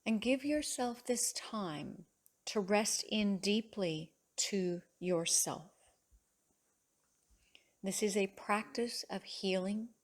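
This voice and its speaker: A woman yoga teacher leads a guided meditation at a very slow pace. Her mid-pitched voice is soothing and measured. She leaves long pauses between short phrases of gentle instruction.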